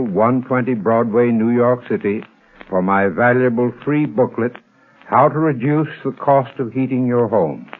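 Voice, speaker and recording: A man delivering a scripted 1930s radio advertisement as a spokesman, with formal, earnest, deliberate diction. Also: mid-range voice. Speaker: advertising spokesman and heating expert. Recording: tinny vintage radio recording.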